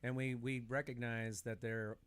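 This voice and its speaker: A man chatting casually as a longtime music fan, repeating words for emphasis in an animated, opinionated way. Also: mid-range voice, moderate pace, a crowd in the background. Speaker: podcast speaker. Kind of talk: casual chat about concerts as a fan.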